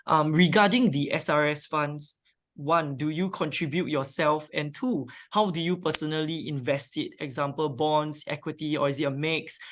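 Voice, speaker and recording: A man's voice: a little high, a bit bright. A man hosting a finance webinar, moderating with friendly thanks and frequent "um"s. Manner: friendly, filler-heavy moderating. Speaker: webinar host.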